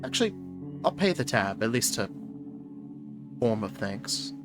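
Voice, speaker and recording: A man voicing a doomed woman in an audio drama, resigned yet quietly hopeful, with a polite, halting manner. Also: medium pitch, very animated. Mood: polite, grateful. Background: music.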